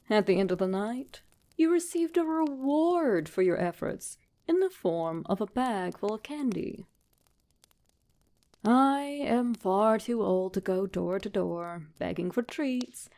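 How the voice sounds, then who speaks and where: A woman reading a scripted horror story as a narrator, in a measured, dramatic manner. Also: medium pitch, creaky, mellow.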